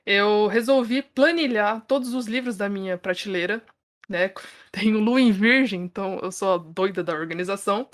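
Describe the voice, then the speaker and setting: A woman's voice: medium pitch, normal speed. Chatty São woman in her thirties. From São Paulo, Brazil. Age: 30s. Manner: chatty, laughs often, frequent tag questions. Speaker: podcast co-host. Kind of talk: casual podcast chat.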